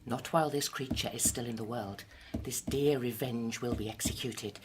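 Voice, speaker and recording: Casting: impassioned gothic tragedy narrator, deep female register, theatrical and intense, live radio play with some noise. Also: moderate pace. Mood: grave, brooding. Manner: intense, declamatory, dramatic. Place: festival theatre stage. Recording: live stage recording with clear noise.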